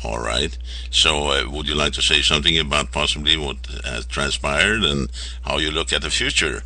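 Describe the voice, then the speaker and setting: A man hosting an informal radio interview, speaking in a halting, rambling and polite way. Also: a bass voice, sharp tone. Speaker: radio show host.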